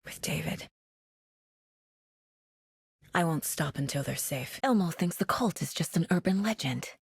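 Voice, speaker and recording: A driven female investigator in a dramatic video game. Her voice sits low and is strongly husky and breathy, recorded very dry. She sounds focused and troubled, with a dry, wry edge. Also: lively intonation, measured pace, no room sound, exaggerated voice. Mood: focused, pensive.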